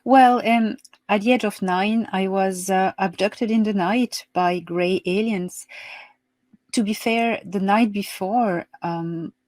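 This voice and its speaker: A woman from France with a French accent, giving a hesitant, soft-spoken account as a guest on a video call. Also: medium pitch, steady tone, slow, no echo. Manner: soft-spoken, hesitant with many ums, laughs easily. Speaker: interview guest. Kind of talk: remote interview, storytelling.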